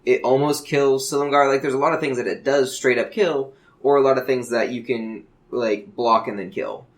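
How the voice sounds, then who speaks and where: A man's voice: mid-range voice, fairly even intonation, normal speed. Man chatting enthusiastically on a gaming podcast. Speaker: podcast co-host discussing a card game. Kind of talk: casual chat.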